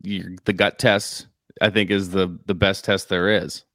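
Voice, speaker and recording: A man co-hosts a sports podcast in relaxed conversational language. His voice sits a little low, and the recording is dry and close, with hardly any room sound. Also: a little slow, no room sound. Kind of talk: casual sports chat.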